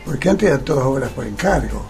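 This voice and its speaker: A man's voice: medium pitch, animated, fast talker, a little mellow, faint music behind. An Argentine man, an actor interviewed on radio, explaining conversationally with rhetorical questions and tags. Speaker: interview guest, stage actor.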